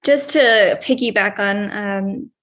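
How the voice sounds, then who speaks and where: A quiet, soft-spoken woman, a second-year surgical resident, sharing measured advice on a panel. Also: medium pitch. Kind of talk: panel discussion.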